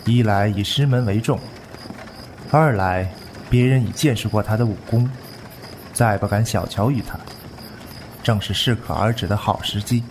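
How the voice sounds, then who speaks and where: 一名男演员在武侠广播剧中饰演一位沉着忠诚而冷酷的剑客，说话庄重简洁。. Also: male voice, lowish voice, darkish tone, a little hiss or hum, lightly acted. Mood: calm. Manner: grave, terse, measured. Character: composed, loyal, ruthless male swordsman. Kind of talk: Chinese wuxia audio drama acting.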